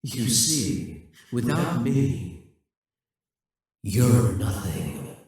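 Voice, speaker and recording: Demon king, male performer: brooding, grandiose audio-drama villain, unhurried delivery, distant and drenched in echo. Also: on the low side, big pitch swings, a little mellow, heavily acted. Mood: taunting. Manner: slow, deliberate, condescending, grandiose. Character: possessive, brooding demon king risen from death, seductive and imperious. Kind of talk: scripted audio roleplay monologue. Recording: echoing, reverberant, distant from the mic.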